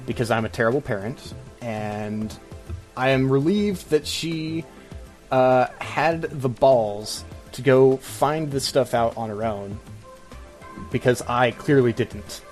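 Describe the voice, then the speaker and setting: A man hosting a casual podcast, ranting in a halting, sarcastic way. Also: mid-range voice, darkish tone, faint music behind. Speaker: podcast host.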